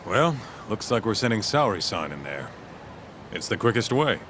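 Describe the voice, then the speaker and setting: Very deep, cocky tough guy. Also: sing-song, normal speed, background noise. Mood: cocky, casual. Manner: blunt, teasing, casual. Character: brash, cocky, laid-back tough guy detective. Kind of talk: video game cutscene dialogue.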